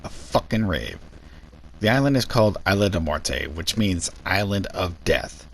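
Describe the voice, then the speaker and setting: A man's voice: low-pitched, expressive, unhurried, creaky, a little hiss or hum. A man hosting a scripted review video, giving opinionated, sweary and sarcastic commentary.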